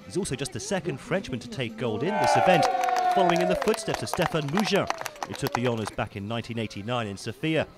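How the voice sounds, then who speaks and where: A man narrates ski race highlights in a measured, polished broadcast manner at a middle pitch. The crowd is clearly heard behind his voice-over. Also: animated, loud music behind the voice. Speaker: sports commentator.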